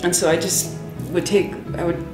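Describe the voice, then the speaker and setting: A woman's voice: fairly low pitch, moderate pace, over music. Chatty woman in a roomy hotel room. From Rhode Island, USA. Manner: chatty and animated, frequent ums and laughs. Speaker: interview guest, singer. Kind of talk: casual interview chat.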